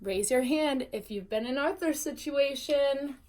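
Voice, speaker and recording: A woman teacher reading a storybook aloud expressively and pausing to put questions to her young listeners. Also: sits high, a little mellow. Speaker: teacher reading a bedtime story to first graders.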